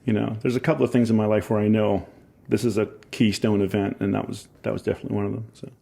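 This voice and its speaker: A man in his forties with a Scottish accent softened by years in Ohio, a musician chatting in an interview and telling rambling, enthusiastic stories with self-mocking laughs. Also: fairly low pitch, flat delivery, fast talker, creaky, breathy edge. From Scotland. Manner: rambling, enthusiastic storyteller who laughs at himself. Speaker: musician guest. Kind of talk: casual interview chat.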